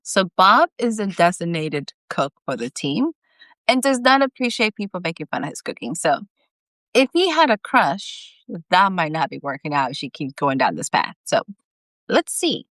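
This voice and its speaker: Animated woman reading a story aloud. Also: on the low side, moderate pace, no echo, low-quality recording. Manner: animated, informal. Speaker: author reading own story. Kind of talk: live story reading with chatty asides.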